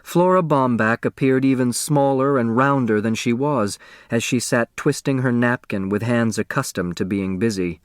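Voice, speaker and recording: A man narrating a novel as an audiobook, in a measured, expressive storytelling manner. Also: mid-range voice, clean tone, no echo, studio quality.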